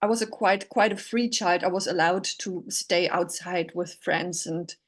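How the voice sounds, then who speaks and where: A woman's voice: on the low side, measured pace. A woman raised in Germany after a childhood in Poland, speaking reflectively as a musician guest on a remote call. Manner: reflective, thoughtful. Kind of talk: casual interview.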